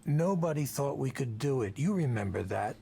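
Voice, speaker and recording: Animated, gravelly, defensive male tech leader. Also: mid-range voice, normal speed. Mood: defensive, indignant. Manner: emphatic, theatrical, self-justifying. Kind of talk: visual novel voice acting.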